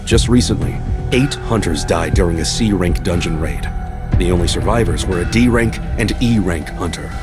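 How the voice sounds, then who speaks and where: A careful, dutiful investigator from a video game, voiced by a man. The low voice delivers its report formally and with slight hesitation, over clearly audible music. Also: normal speed, natural voice. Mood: serious, cautious. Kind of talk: video game cutscene acting. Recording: loud music under dialogue.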